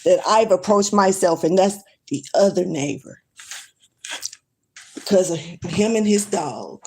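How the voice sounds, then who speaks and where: A woman's voice: lowish voice, lively intonation, a little slow, darkish tone, a little hiss or hum. A woman, ranting bluntly and swearing freely in a casual livestream. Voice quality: strong voice. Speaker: livestream vlogger. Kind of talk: casual rant to camera.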